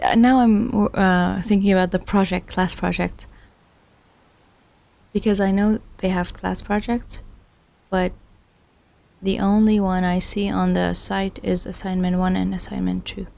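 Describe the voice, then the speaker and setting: A woman's voice: mid-range voice, level tone, slow pace, vocal fry, pauses often, darkish tone. A woman from Iraq hosting a study livestream, thinking aloud and chatting with viewers in a musing, self-deprecating way. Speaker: livestream host studying along. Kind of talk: casual thinking aloud while following a lecture.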